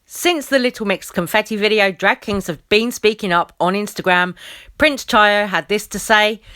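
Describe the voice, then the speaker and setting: A woman's voice: mid-range voice, moderate pace, bright, forceful tone. A woman hosting an opinionated commentary video, speaking in an emphatic, conversational way.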